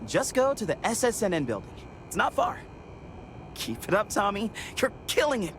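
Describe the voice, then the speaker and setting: An actor playing an eager, awkward young news intern in a video game, stammering and excitable. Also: male voice, high-pitched, normal speed, slight background noise, exaggerated voice. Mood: excited, awkward.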